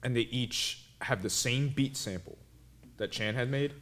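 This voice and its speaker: A man's voice: medium pitch, measured pace, fairly bright tone. A man co-hosting a reaction video, explaining at length in a rambling, enthusiastic way with many restarts. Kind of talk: casual reaction commentary.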